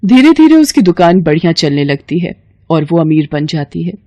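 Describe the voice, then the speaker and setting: A woman's voice: low-pitched, big pitch swings, moderate pace, dark tone, no echo. A woman storyteller reading scripted narration for a moral bedtime story.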